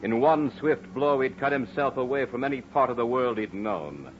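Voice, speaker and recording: A man's voice: medium pitch, moderate pace, slight background noise. A man narrating and announcing a 1940s radio horror drama in a formal, portentous, dramatic style. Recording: muffled old radio recording, faint music.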